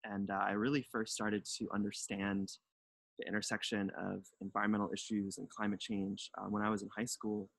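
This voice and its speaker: A young man from North Carolina, introducing himself as a delegation co-leader in a relaxed, hesitant webinar talk full of fillers. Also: on the low side, brisk, vocal fry, no room sound, rough recording. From North Carolina, United States.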